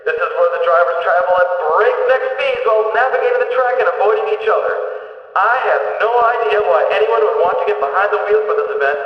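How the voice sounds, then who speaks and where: A man voicing an excitable, jokey race announcer in a video game, with a booming showman's delivery and drawn-out words. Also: lightly acted. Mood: wry, rousing. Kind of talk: arena sports announcing, scripted game voice lines. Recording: echoing PA in a big venue, distant, dull.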